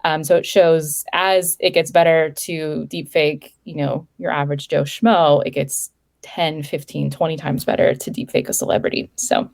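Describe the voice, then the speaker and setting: A woman's voice: low-pitched, steady tone, moderate pace, gravelly, darkish tone. A woman guest in a casual interview, explaining things in a conversational way. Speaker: threat intelligence analyst guest.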